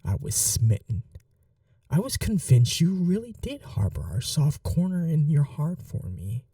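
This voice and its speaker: A woman playing a possessive, wounded vampire mistress in an intimate ASMR roleplay, speaking softly and breathily, often in whispers, with slow, tender coaxing. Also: sits low, very animated, no room sound, clean studio sound, recorded from a distance, heavily acted. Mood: wounded, bitter.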